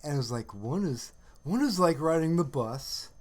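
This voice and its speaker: A man hosting a casual livestream, chatting in a rambling, halting way and often trailing off mid-sentence. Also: a little high, animated, darkish tone. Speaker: livestream host.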